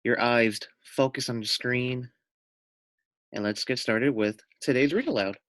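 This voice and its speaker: A man's voice: medium pitch, unhurried, fairly bright tone, dry recording, clean studio sound. A man reading a children's story aloud like a teacher, expressively, with asides to his listeners. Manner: addresses listeners with questions and asides.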